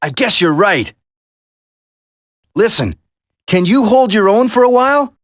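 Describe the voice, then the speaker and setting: A man's voice: high voice, sing-song, a little slow, no echo, studio quality. A man voicing an earnest special forces soldier in a video game, dramatic and urgent. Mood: urgent, concerned. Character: earnest male special forces soldier, determined, worried for comrades.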